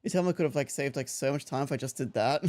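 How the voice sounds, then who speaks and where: A young man from Australia talks casually on a live game stream, his voice sitting a little higher than most men's. He rambles, restarts his sentences and laughs often as he chats with viewers. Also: very animated, fairly quick, a little mellow. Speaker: livestreamer. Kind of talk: casual live-stream chat while gaming.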